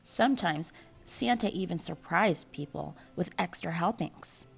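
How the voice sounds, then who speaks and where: Woman librarian reading a kids book out loud, slow n animated, bit of a husky voice, music behind. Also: on the low side. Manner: measured, animated storytelling with dramatic pauses. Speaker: school librarian reading a picture book aloud. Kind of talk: expressive children's storybook read-aloud.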